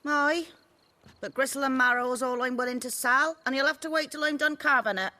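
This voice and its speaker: Blunt, high-voiced peasant wife. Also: female voice, brisk, sharp tone. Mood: blunt, wry. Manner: blunt, sardonic. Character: blunt, wry village carrion seller's wife. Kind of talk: video game voice acting.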